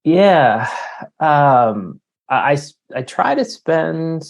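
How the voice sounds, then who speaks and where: A man in his forties giving casual, halting, self-deprecating answers as an author being interviewed. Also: fairly high pitch, sing-song, creaky, muffled recording. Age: 40s. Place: remote call.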